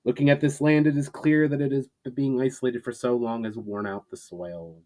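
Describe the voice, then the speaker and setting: A male reader takes on a solemn, earnest leader addressing his armies. He speaks in measured, declamatory phrases at an average male pitch, as in a dramatic storybook reading. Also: steady tone, darkish tone, lightly acted. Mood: hopeful, earnest.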